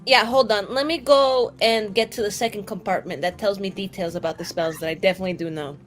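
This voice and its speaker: A woman's voice: medium pitch, quick pace. A woman playing in a tabletop roleplaying show, chatting in a casual, improvised way. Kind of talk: casual improvised game chat. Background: faint music.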